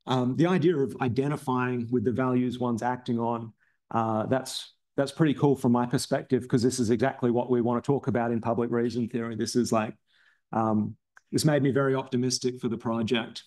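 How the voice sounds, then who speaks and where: A man presents at a university seminar at a mid-range pitch. He talks haltingly, stopping often on ums and restarting his sentences. His voice sounds slightly strained, as if he has lost it more than once recently. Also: fairly even intonation, a little mellow. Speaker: philosophy researcher presenting a seminar talk.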